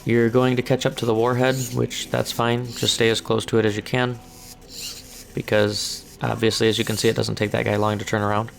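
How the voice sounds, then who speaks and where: Hoarse, gravelly male gamer narrating a walkthrough; flat, even delivery. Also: fairly low pitch, a little fast. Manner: calm step-by-step instructions. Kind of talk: casual game walkthrough commentary.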